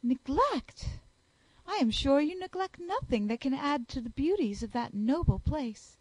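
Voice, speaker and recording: A woman's voice: high-pitched, big pitch swings, a little slow, a little mellow, no room sound, pitched above the performer's own voice, low-quality recording. A woman doing a gushing, snobbish young society lady. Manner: gushing, fawning. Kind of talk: audiobook character voice.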